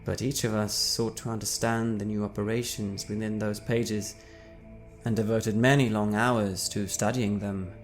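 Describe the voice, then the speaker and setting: A man giving a dramatic first-person reading as his character, measured and wry, letting phrase endings trail off softly. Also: lowish voice, flat delivery, faint music behind. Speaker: player reading character backstory.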